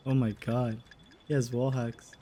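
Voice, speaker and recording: A woman's voice: extremely low pitch, flat delivery, a little slow, warm tone, slight background noise. A woman giving casual, slangy, offhand reaction commentary as she plays a video game. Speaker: gamer playing through a story quest.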